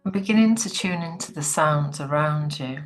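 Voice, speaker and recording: A woman leading a guided relaxation meditation in a soothing, gentle, unhurried manner. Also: sits low, soft background music.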